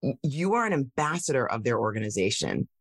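A woman tech founder telling her story in a casual interview, animated, with many fillers and restarts. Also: rumbling low, fairly quick, breathy edge, no echo, clean studio sound. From United States.

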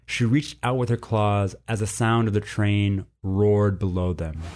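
A man's voice: fairly low pitch, fairly even intonation, measured pace, a little mellow. A man reading a story aloud as narrator in a scripted storybook style.

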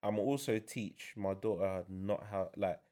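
A young man speaks in a very low, bassy voice. He talks things over slowly and thoughtfully, pausing on fillers as he chats as a guest. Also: dark tone. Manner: reflective, with many fillers. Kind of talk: casual chat.

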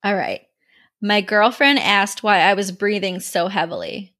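A woman's voice: mid-range voice, lively intonation, measured pace, fairly bright tone. A woman co-hosting a podcast, chatting casually and enthusiastically, swearing freely and laughing a lot. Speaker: podcast co-host. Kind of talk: casual chat.

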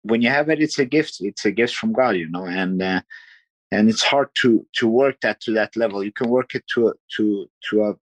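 A man who grew up in Sweden, a guest fighter answering interview questions in a halting, thoughtful way. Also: on the low side, no echo. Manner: halting, restarts often, thoughtful.

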